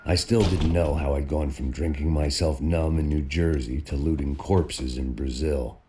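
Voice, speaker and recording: An actor playing a middle-aged, bitter American ex-cop who narrates in a brooding, deadpan noir style. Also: male voice, a bass voice, normal speed. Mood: bitter, resigned. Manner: world-weary, brooding, deadpan. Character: weary, bitter, self-loathing American ex-cop antihero. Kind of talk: scripted noir voice-over narration and game dialogue.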